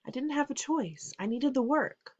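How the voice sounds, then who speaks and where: A woman voicing a gentle, protective mother who speaks softly and soothingly. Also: high voice, animated, normal speed, lo-fi sound, voice pushed up. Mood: upset. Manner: soft-spoken and soothing.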